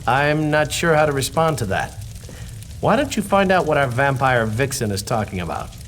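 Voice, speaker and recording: A man's voice: medium pitch, sing-song, normal speed, a bit bright, a little hiss or hum. An actor playing a charming, self-assured male knight in a fantasy video game, with a playful delivery. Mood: wry.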